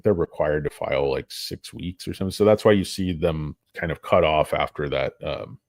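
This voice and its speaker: A man's voice: rumbling low, moderate pace, a little mellow, no room sound. A man hosting a casual stock commentary, rambling, crude and sarcastic as he talks through charts and filings. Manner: rambling, crude and sarcastic, with mocking put-on voices. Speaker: stock market video host.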